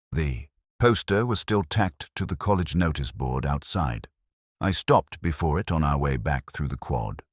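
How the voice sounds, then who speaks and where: A man playing a brooding, observant police inspector, narrating his case in a measured, understated, literary way for an audio drama. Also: very deep voice, slightly rough, dry recording, clean studio sound, full character voice. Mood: brooding, wry. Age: middle-aged. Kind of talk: scripted first-person detective narration, audio drama.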